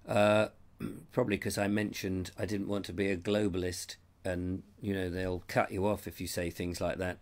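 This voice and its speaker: A man's voice: deep voice, little pitch movement, normal speed. English guy with a cold talking into a lapel mic, chatty, kind of rambly, voice half gone. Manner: rambling, chatty, reads comments aloud. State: has a cold, losing his voice. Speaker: show host. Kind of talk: live-stream chat reading viewer comments.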